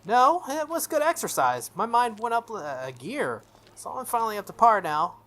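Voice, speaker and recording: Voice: mid-range voice, big pitch swings, moderate pace, fairly bright tone, voice pushed up. A game player voicing a confident, teasing schoolgirl from a visual novel in a breezy, self-assured way. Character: confident, teasing schoolgirl honor student, spirited.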